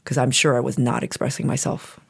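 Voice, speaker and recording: A middle-aged woman whose first language is Chinese, thinking aloud in a casual conversation with halting restarts. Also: very deep voice, little pitch movement, gravelly, breathy edge. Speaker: client receiving a reading.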